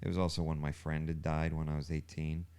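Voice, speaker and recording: Casting: male podcast host, very deep and resonant, earnest and conversational. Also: level tone, quick pace, darkish tone, clear, resonant tone.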